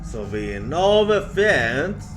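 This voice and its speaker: A man telling a ghost story in an ASMR roleplay style, halting and hesitant, often repeating himself. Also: on the high side, big pitch swings. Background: music.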